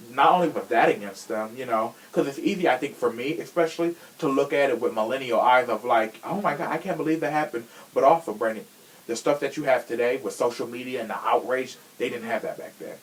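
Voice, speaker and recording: A man's voice: mid-range voice, a little fast, poor audio. A young man hosting a podcast in a casual, opinionated chat, talking in a sassy, slangy and emphatic way. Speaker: podcast host.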